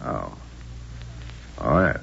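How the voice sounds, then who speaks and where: An American man playing a cool, tough, wisecracking adventurer in a 1940s radio drama, gravelly and dryly sardonic. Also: extremely slow, a bit bright. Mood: sardonic, unruffled. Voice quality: deep, gravelly. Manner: dry, sardonic, unruffled. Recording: dull, noisy old radio recording.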